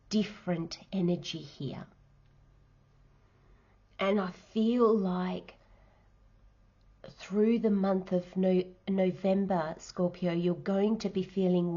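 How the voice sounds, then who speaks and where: A woman giving a tarot reading, nasal and croaky from hay fever, speaking softly and sometimes slipping into a whisper. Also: lowish voice, dull audio. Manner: soft, unhurried, drops into whispers while laying cards. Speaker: tarot reader.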